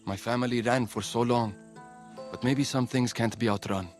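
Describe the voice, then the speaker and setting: A man playing a gentle, brooding young father in a video game cutscene, soft and earnest. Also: mid-range voice, steady tone, normal speed, soft background music, lightly acted.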